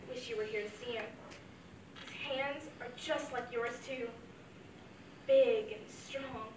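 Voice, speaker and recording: A young woman plays a grieving mother speaking to her late father, her high voice carrying heartfelt, wavering feeling. The sound is roomy and echoey, caught from a mic set well back from her. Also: recorded from a distance, background noise. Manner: heartfelt, wavering between fond memories and anguish. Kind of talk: dramatic monologue.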